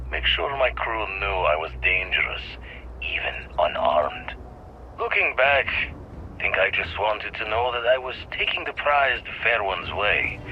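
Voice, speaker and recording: An actor playing an old reformed space pirate turned astronomer, musing over the past in slangy storytelling for a video game. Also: male voice, low-pitched. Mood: reminiscing. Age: older. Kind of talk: scripted monologue, game voice acting. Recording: dull lo-fi audio with clear noise.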